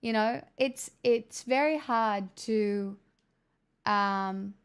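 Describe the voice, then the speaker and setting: A woman's voice: medium pitch, very slow, clear, resonant tone. A young woman hosting a casual livestream, chatting, joking and swearing as she answers messages from chat. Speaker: livestream host reading chat.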